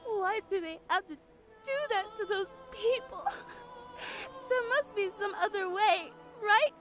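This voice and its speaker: A woman's voice: very high-pitched, slow pace. A woman playing an idealistic, compassionate young operative burdened by guilt in a radio drama, earnest and emotional. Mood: anguished. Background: background music.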